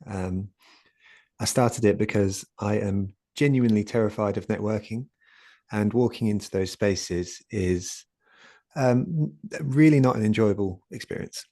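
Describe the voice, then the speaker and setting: A man speaks quietly over a video call, his low, warm voice hesitant and full of restarts and fillers. The sound is close and dry. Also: measured pace, no echo. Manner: soft-spoken, hesitant, lots of fillers and restarts. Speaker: guest speaker, community founder. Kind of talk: conversational talk in an online session.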